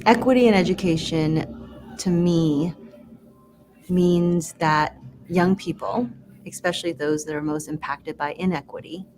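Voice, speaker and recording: A woman from Vietnam telling her personal story in a measured, earnest way for a promotional video. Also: lowish voice, a little mellow, rough recording. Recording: voice over background music. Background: music.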